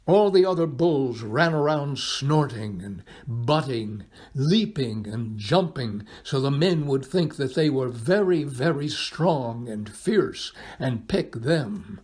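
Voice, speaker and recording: A man reading a children's picture book aloud expressively, pausing for drama and addressing his young listeners. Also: mid-range voice, expressive, a little mellow, breathy edge. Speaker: volunteer storybook reader.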